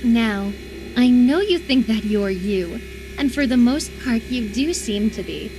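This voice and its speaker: A woman playing an obsessive, sweetly menacing young female captor in a dramatic horror visual novel, coaxing with a sharp edge. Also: a little high, expressive, a little slow, music in the background. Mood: eerie, accusing.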